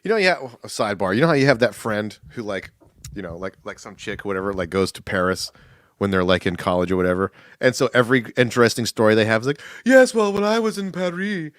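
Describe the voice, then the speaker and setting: A man's voice: lowish voice, fast, no echo. Guy cohosting a podcast, tells stories with lots of swing in his voice, keeps laughing, dry close sound. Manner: animated storyteller, acts out quotes, laughs often. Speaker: podcast co-host. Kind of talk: casual chat.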